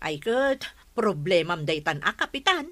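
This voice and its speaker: A woman's voice: fairly low pitch, big pitch swings, measured pace, a bit bright, dry recording, exaggerated voice. A woman with an Ilocano accent playing a mocking, outspoken village councilor in a radio comedy drama. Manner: needling, blunt.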